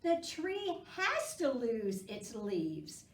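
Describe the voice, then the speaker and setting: Woman as a slow, patient mother squirrel. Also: a little high, wildly swooping pitch, roomy sound, distant mic, full character voice. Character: patient, gentle mother squirrel explaining to her children.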